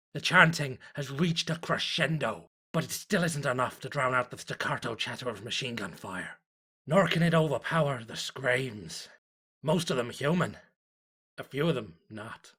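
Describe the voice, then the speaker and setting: A man voicing a fanatical cult diarist, reverent and zealous, in a solemn, deliberate horror story narration. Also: mid-range voice, pushed and bright.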